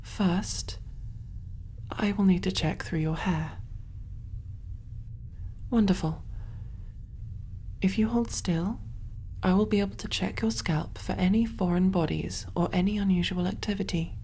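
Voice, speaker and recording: A woman voicing a kind, calm alien medic in a soft, breathy, reassuring ASMR roleplay style. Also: mid-range voice, a little slow, pauses often, a little hiss or hum, lightly acted. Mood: gentle, informative. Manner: gentle, hushed, reassuring, often near whisper.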